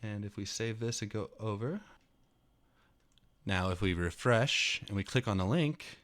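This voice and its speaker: A man's voice: lowish voice, expressive, a little slow, a bit bright. A man in his thirties reading a written programming tutorial aloud, with an emphatic, somewhat dramatic delivery. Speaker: tutorial host. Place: indoor room at a computer.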